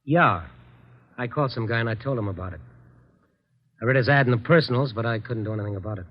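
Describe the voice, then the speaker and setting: An actor playing a menacing, trigger-happy gangster in an old radio crime drama, talking in terse, fatalistic slang. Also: male voice, medium pitch, fast, natural voice. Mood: cool, threatening. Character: hard-boiled, trigger-happy gangster killer, fatalistic and touchy. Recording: dull, noisy vintage radio recording.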